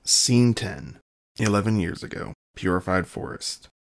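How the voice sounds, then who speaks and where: Scene narrator, male: lowish, creaky voice, scripted announcements. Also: expressive, unhurried.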